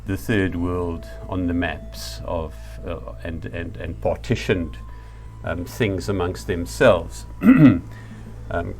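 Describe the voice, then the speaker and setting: Deep-voiced South African man lecturing. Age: middle-aged or older. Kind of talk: reflective spoken lecture.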